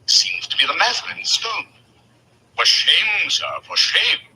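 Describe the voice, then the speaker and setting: An actor playing a shrewd, courteous detective with dry mischief in a 1940s-style radio drama, speaking formally and theatrically. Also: male voice, high voice, sing-song, measured pace, creaky, pushed and bright, slightly rough, exaggerated voice, rough recording. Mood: commanding. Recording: old radio recording with faint noise.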